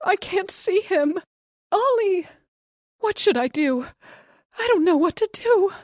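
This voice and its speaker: A woman voicing a panicking wife who shouts and sobs in a high, strained voice. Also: big pitch swings, measured pace, no room sound, clean studio sound. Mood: screaming, sobbing. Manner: shouting, frantic, high-pitched. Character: frantic panicking woman, wife watching disaster.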